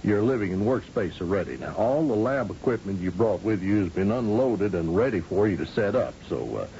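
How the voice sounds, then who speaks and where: A man's voice: lowish voice, lively intonation, fairly quick, gravelly, mellow. A man in an old radio drama playing a folksy, genial old governor of a space colony, wry and rambling with a cowboy streak. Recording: dull, noisy old radio recording.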